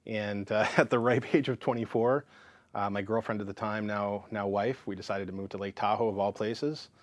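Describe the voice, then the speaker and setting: A man in his thirties tells his story as an interview guest, talking in a frank, lively way. His voice sits low, and the sound is dry and close. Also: normal speed, no echo. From Canada. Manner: frank and lively, full of fillers and restarts. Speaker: counselor and psychotherapist, interview guest.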